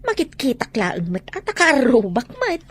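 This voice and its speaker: High, husky actress playing sly gossipy neighbour, lively pitch, audio comedy drama. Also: female voice, normal speed. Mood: prying. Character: prying, gossipy neighbour woman, sly and needling.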